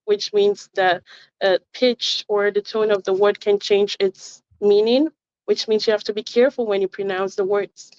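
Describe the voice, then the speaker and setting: A woman's voice: mid-range voice, a little mellow, smooth, no room sound. A woman, a language tutor answering interview questions earnestly and carefully, with frequent fillers. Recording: dull, muffled audio.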